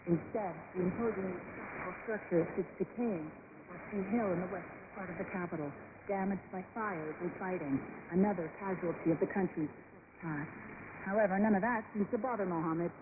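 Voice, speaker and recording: A woman's voice: fairly low pitch, fairly quick. A woman radio reporter reading scripted first-person feature narration. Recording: tinny shortwave broadcast with static. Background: shortwave static.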